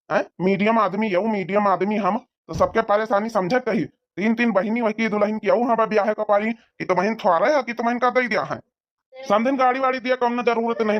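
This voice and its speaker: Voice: medium pitch, close to an everyday voice. An actor voicing an exasperated village father of the groom in a comedy cartoon, scolding and rambling, on lo-fi audio. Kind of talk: amateur comedy cartoon dialogue.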